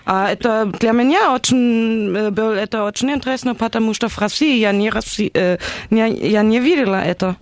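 A woman's voice: on the high side, fairly quick, no room sound, close to an everyday voice. A woman playing a friendly Russian visitor in a live radio play, hesitant and searching for words. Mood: earnest, impressed.

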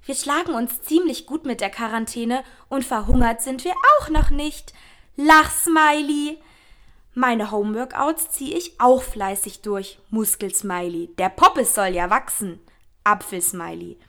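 A woman's voice: fairly high pitch, unhurried, a bit bright. A woman playing a chirpy, upbeat social media poster in a radio collage, gushing. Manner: gushing, reads smileys aloud.

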